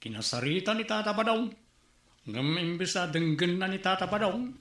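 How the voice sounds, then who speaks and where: A calm village mediator. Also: male voice, high voice, normal speed. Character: patient male village official mediating. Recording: echoey room recording.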